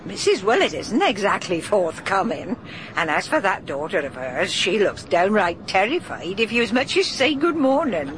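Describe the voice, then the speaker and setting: An actress playing a gossipy village housekeeper. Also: female voice, mid-range voice, very animated, normal speed, noisy background, natural voice. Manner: gossipy, confiding chatter.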